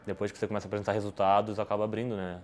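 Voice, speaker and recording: Fast-talking man with a lowish voice. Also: level tone, a little hiss or hum. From Brazil. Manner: rapid, rambling, lots of restarts and tag questions. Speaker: podcast guest, poker player. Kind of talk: casual interview answers.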